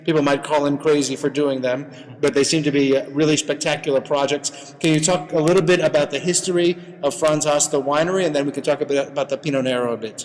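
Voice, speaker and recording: The man asking the questions at a relaxed on-site interview, his voice carrying through a hall-like, reverberant room. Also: fairly high pitch, racing. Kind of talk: conversational wine interview.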